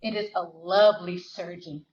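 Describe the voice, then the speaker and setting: A woman reading literary fiction dramatically, in measured, deliberate phrases with weighted pauses. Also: lowish voice, fairly even intonation, a little mellow, dull audio. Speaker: dramatic reader at a library performance. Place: live reading at a library event.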